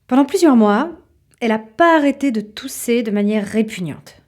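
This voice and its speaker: A woman's voice: on the high side, lively intonation, moderate pace, a little mellow, close to an everyday voice. A woman playing an obsessive, irritable mother who tells her story dramatically and confidingly in an audio fiction. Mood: irritated, confiding. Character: obsessive, irritable mother waiting for a mysterious sign.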